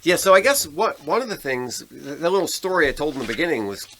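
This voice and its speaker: A man's voice: fairly high pitch, very animated, fast talker, fairly bright tone, low-quality recording. An American man co-hosting a podcast, explaining and discussing politics in a casual, conversational way. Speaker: podcast co-host.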